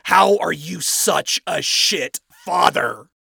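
A man's voice: on the high side, animated, unhurried, vocal fry, pushed and bright, breathy edge, no echo, clean studio sound, voice pushed up, heavily acted. A man voicing a brash, foul-mouthed, scornful man with a blunt, sarcastic way of talking in a story. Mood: scornful, exasperated.

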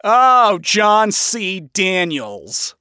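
A man's voice: sits high, very animated, extremely slow, sharp tone, clear, resonant tone, no echo, studio quality, pitched above the performer's own voice, exaggerated voice. A man voicing a smug, theatrical devil king of Hell who sneers and mocks in an audio story. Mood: hissing contempt.

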